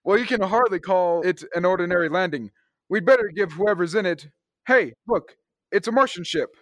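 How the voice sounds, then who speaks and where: A young man playing a brisk, take-charge space hero in a classic radio drama. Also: high-pitched, background noise, close to an everyday voice. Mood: urgent.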